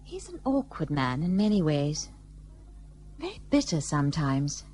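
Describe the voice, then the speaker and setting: An actress playing a perceptive, polite British colonial wife, genteel and earnest in a period radio play. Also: female voice, sits low, big pitch swings, a little slow, darkish tone, slight background noise. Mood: thoughtful.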